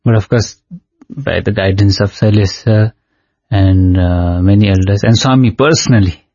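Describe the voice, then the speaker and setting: A man whose first language is Nepali, a devotional singer telling stories in an unhurried way, pausing often. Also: lowish voice, darkish tone, dry recording, dull audio. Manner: unhurried storyteller, pausing with "uh" and light laughs. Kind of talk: reminiscing in conversation.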